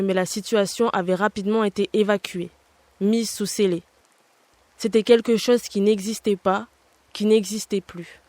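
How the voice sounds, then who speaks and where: A woman in her twenties narrates a personal, scripted podcast essay. Her delivery is measured and reflective, unfolding in short phrases at a medium pitch. The sound is very dry, with no room around the voice. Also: a little mellow, no echo. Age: 20s.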